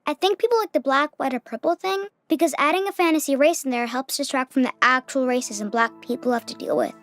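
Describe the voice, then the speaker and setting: A woman's voice: high voice, quick pace, fairly bright tone, soft background music. A female voice playing an earnest, thoughtful young child in a cartoon public service announcement.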